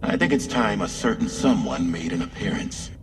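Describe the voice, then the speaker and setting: An actor playing a suave, knowing intermediary in a game cutscene, speaking in a formal, measured, explanatory way. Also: male voice, on the low side, expressive, a little hiss or hum, close to an everyday voice. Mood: knowing, composed. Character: suave, knowing male intermediary briefing a courier. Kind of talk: scripted game cutscene dialogue. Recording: distant, with music behind.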